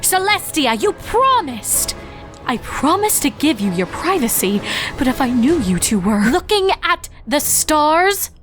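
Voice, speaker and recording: Confident younger-sister pony princess, acted by a woman: coy, formal, easily irritated, unhurried, for comic fan fiction. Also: high voice, sing-song, fairly bright tone, faint music behind, voice pushed up, full character voice. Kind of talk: comedic fan fiction voice acting.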